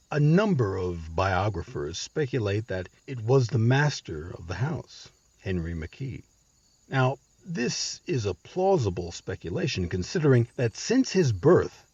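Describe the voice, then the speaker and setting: A man's voice: lowish voice, warm tone, husky, dry recording, highs cut off. A man narrating a scripted history story with emphatic, dramatic phrasing, as the host of the show.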